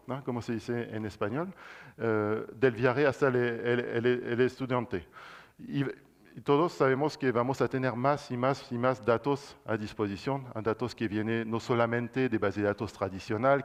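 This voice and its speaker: Halting man presenting. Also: mid-range voice. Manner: halting, many restarts, slips into French and English words. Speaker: guest speaker, cloud solutions architect. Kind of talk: university presentation and Q&A.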